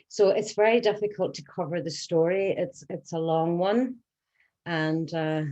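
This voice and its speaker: Irish lady in her 60s, low voice, earnest and measured, lots of ums. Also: warm tone. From Scotland, then Ireland. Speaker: patient advocate giving a talk. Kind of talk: personal story told to an audience.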